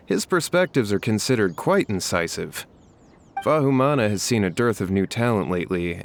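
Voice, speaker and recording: An actor playing a scholarly, opinionated male onlooker in a video game, articulate and measured. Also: medium pitch, a little hiss or hum, natural voice. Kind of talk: video game character acting.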